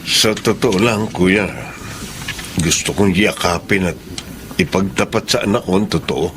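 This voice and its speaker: A meek, secretive middle-aged servant with a lowish voice. He speaks hesitantly and deferentially, as in a radio drama. A little noise sits on the recording. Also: a little slow. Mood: wistful. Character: meek, secretive ex-convict father working as servant. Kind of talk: Tagalog radio drama acting.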